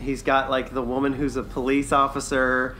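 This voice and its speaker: A man's voice: medium pitch, monotone, normal speed, bright, forceful tone, slight background noise. A man co-hosting a podcast in casual chat, upbeat and good-humoured. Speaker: podcast co-host.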